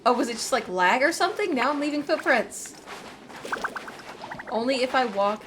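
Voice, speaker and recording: Brief: female gaming host, higher voice, chatty and wry, casual commentary, faint noise behind. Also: lively intonation, normal speed.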